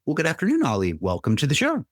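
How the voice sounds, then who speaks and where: A man's voice: a little high, huge pitch swings, quick pace, dry recording, studio quality. A man hosting an interview podcast, courteous and welcoming as he introduces and questions his guest.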